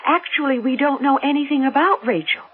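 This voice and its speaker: A woman's voice: sits high, brisk, natural voice. An actress playing a caring, worried older mother of a grown son in a radio drama. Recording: tinny phone-like radio recording with music.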